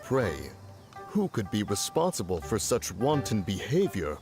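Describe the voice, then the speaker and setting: A man's voice: fairly low pitch, wildly swooping pitch, measured pace, full character voice. A man voicing a stern ancient beast spirit with stiff formal politeness, in a game cutscene. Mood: courtly. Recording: game audio with music underneath.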